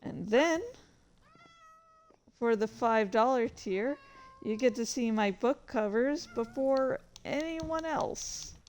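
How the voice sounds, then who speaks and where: A woman's voice: on the high side, slow, a little mellow. A woman streamer chatting casually, rambling along with distracted asides. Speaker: streamer and indie author. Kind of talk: casual livestream chat.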